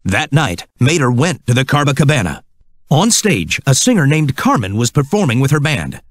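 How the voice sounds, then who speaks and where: Man for children's storybook narration: scripted, highly expressive pitch, close dry sound. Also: normal speed, no echo.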